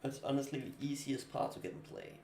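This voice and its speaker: A man whose voice is gravelly, with a Danish accent, muttering through his turns as he plays a card game on stream. His pitch sits in a middling range, and a faint hiss runs underneath. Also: fairly quick, darkish tone. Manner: thinking aloud while playing, casual, muttering asides. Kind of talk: live gameplay commentary.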